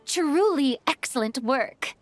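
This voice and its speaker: Animated young female film director. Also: high-pitched, slow, soft background music. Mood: encouraging. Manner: crisp commanding calls, effusive praise. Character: exuberant young film director, theatrical, encouraging. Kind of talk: English-dubbed anime game acting.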